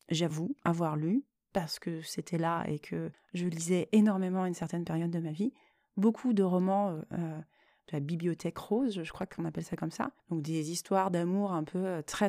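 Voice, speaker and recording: Woman doing a solo podcast, soft mellow tone, kinda hesitant and thoughtful, really dry close sound. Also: on the low side, no room sound. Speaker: podcast host and author. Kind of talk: reflective solo podcast talk.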